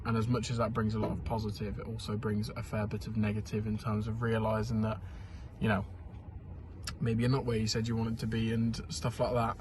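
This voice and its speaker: A man's voice: on the low side, background noise. A 21-year-old man, talking candidly and rambling in a casual vlog diary while ill with a sore throat and sniffles. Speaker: vlogger.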